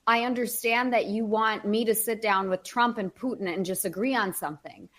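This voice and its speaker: A woman's voice: medium pitch, moderate pace, fairly bright tone. A podcast host, a woman from Ukraine whose first language is Russian, telling stories in an earnest, opinionated way. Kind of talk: casual podcast conversation.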